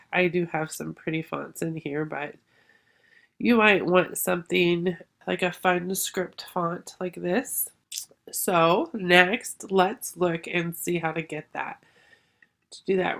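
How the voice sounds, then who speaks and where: A middle-aged woman hosting a craft tutorial, explaining software step by step in a friendly way, sounding congested from a sinus infection. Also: on the low side, gravelly. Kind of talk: screen-share software tutorial.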